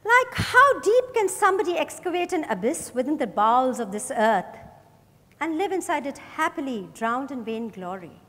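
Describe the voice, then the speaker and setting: A woman's voice: high voice, sing-song, normal speed, fairly bright tone. A woman acting a probing, accusatory female detective in a theatrical dramatized stage reading. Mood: accusing, forceful. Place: large hotel ballroom stage. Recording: echoey hall, picked up from the audience.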